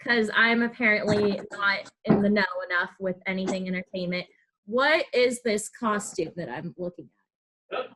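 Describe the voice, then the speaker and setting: A woman's voice: medium pitch, moderate pace, fairly bright tone, slight background noise, low-quality recording. A young woman hosting a podcast in a casual interview style. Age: young adult. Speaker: podcast host.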